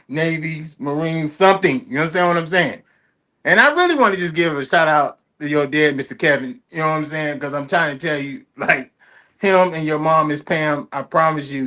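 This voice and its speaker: Male host, slightly high voice, eager and rambling. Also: sharp tone. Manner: enthusiastic, rambling, heavy fillers and repeats. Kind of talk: casual interview.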